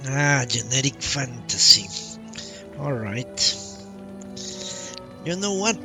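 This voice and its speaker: A man's voice: medium pitch, very animated, slow. A man giving casual live commentary on a game he is playing, musing and a little hesitant as he goes. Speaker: game streamer. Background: game music.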